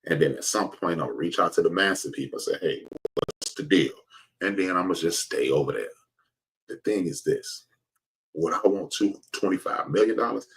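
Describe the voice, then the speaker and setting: A man's voice: deep voice, a little fast, fairly bright tone, breathy edge, low-quality recording. An American man giving an informal, emphatic opinion monologue to his viewers, preaching his point and repeating phrases for stress. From United States. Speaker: host of an online commentary show.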